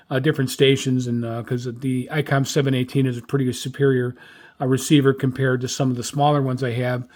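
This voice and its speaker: A man talking informally as a radio hobbyist host, halting often as he reviews his notes. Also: medium pitch. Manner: halting, frequent hesitations. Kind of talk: informal spoken log review.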